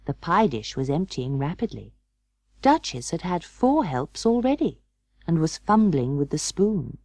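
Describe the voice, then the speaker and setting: A woman narrates a storybook with measured, expressive phrasing. Her pitch moves in a lively way and her pace is leisurely. The sound is dry and close, as in scripted audiobook reading. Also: no echo.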